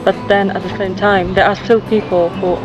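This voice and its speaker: A woman's voice: medium pitch, level tone, normal speed. A woman from Poland, a native Polish speaker, giving candid, reflective interview answers. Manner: candid, reflective, some restarts.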